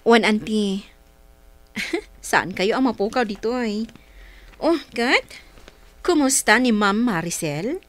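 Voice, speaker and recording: Earnest, animated young wife. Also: female voice, on the high side, slow pace, pauses often. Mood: friendly, respectful. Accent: Ilocano. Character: polite, respectful young married woman, hurt by gossip. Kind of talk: Ilocano radio drama acting.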